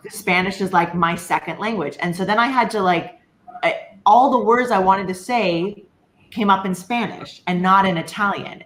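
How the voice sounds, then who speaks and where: A woman's voice: medium pitch, a bit bright. A woman casually leading a group conversation as host, putting questions to the others and adding her own thoughts. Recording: echoey room.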